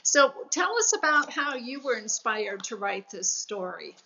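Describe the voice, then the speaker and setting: A woman's voice: on the high side, big pitch swings, unhurried, sharp tone. An older woman moderating a panel conversation as host over a video call. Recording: dull, lo-fi video call audio.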